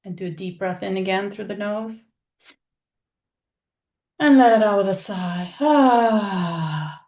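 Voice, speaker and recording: A woman leading a guided meditation, speaking in a soothing, unhurried manner with pauses between phrases. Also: mid-range voice, steady tone, a little mellow. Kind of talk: guided meditation, soft spoken visualization.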